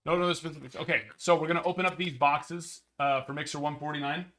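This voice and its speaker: A man hosting a sports card break livestream, chatting casually with viewers in a slightly hoarse voice. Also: fairly even intonation, quick pace, bright, forceful tone. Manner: chatty, rambling, upbeat sales pitches.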